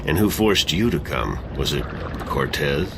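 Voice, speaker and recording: A sardonic whiskey-loving exile. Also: male voice, very deep voice, expressive, unhurried, noisy background, full character voice. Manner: dry, laughs at his own jokes.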